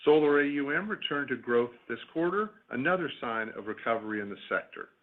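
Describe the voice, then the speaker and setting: A man, a company executive, reading a scripted earnings call presentation in a formal, measured corporate way. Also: medium pitch, expressive. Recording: tinny narrowband phone conference line.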